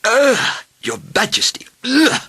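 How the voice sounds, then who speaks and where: Storybook royal doctor, acted by a male reader: high, fussy, panicky, forceful shouting. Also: wildly swooping pitch, takes it slowly, slightly rough, full character voice. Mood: alarmed disgust.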